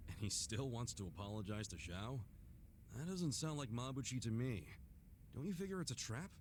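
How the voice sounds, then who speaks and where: An actor playing an earnest, hot-headed and loyal brawler in a video game dub, speaking bluntly in streetwise, informal style. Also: male voice, mid-range voice, animated, normal speed, slight background noise, close to an everyday voice. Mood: wary.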